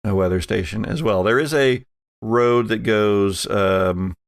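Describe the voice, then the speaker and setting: A man's voice: lowish voice, measured pace, creaky, clear, resonant tone, no room sound. A middle-aged American man running a tabletop roleplaying game as game master.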